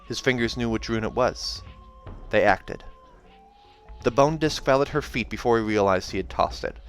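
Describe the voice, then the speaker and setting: A man reading a fantasy novel aloud in an expressive narrating style, as a reader who also comments casually. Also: lowish voice, moderate pace, soft background music.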